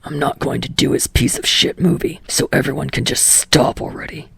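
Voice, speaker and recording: A woman voicing an irritable, guarded young man in an audiobook story, with a low raspy growl and a terse, grumbling manner. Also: steady tone, moderate pace, fairly bright tone, no echo, exaggerated voice. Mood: angry, bitter. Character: gruff, irritable young male actor, foul-mouthed, guarded.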